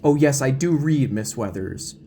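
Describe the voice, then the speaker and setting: A man's voice: medium pitch, animated, measured pace, mellow, a little hiss or hum. A man playing an earnest, protective, wordy young small-town deputy in an audio drama. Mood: indignant. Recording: music bed behind the voice.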